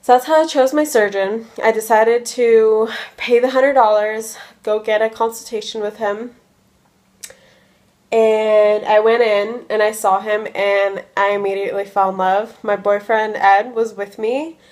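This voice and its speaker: A woman's voice: mid-range voice, fairly even intonation, a little slow, a little mellow. A woman in her early twenties chatting casually in a vlog, drowsy from medication, rambling with lots of likes and ums. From United States. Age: 20s. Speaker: vlogger.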